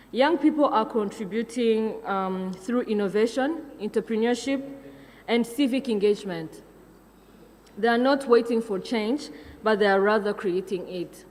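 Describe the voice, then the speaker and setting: A woman's voice: medium pitch, a little mellow, in a large hall. Young woman from Rwanda giving a speech. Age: young adult. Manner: reads from a script with fillers and self-corrections. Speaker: conference speaker. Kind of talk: prepared conference speech.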